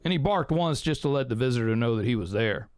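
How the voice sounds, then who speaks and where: A man's voice: medium pitch, lively intonation, quick pace, darkish tone, slight background noise. A man, talking casually to viewers as host of an audio production channel and then reading out a short story. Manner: rambling and chatty, many fillers and restarts, keeps saying "man".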